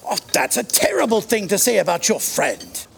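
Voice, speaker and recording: An actor in an audio drama playing a courteous, anxious old gentleman, creaky, polite and hesitant. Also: high-pitched, huge pitch swings, moderate pace, a crowd in the background, exaggerated voice. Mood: sad, resolute.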